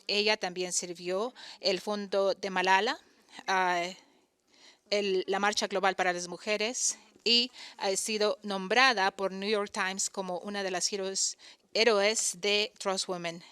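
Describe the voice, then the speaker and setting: A woman giving a voice-over interpretation of a conference talk, halting often to correct and restart her phrases. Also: mid-range voice, fairly bright tone, clear, resonant tone.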